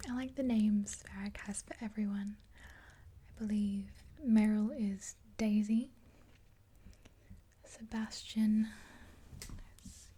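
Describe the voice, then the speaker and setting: A woman in her twenties doing a gentle ASMR roleplay in a soft, unhurried, breathy whisper, close to the mic. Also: medium pitch. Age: 20s. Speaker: ASMR video creator. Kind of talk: ASMR roleplay, whispered makeover with rambling chat. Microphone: close to the mic, facing camera.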